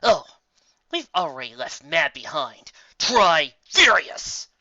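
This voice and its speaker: A man voicing a temperamental female pegasus in a story, stern and dry, forcing herself to stay calm. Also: a little high, takes it slowly, dry recording, dull audio, exaggerated voice. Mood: forced calm.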